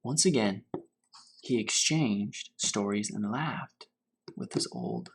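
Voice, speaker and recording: A man reading a storybook aloud as a teacher for children, pausing to put questions to his young listeners. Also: lowish voice, huge pitch swings, gravelly, slightly rough. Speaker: teacher reading to fourth graders.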